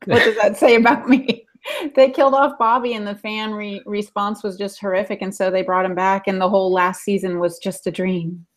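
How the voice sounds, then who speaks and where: A woman in her forties speaks at a middling pitch in a casual panel chat. She is a podcast co-host, talking over a video call from a room at home. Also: steady tone, moderate pace. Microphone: video call with earphones.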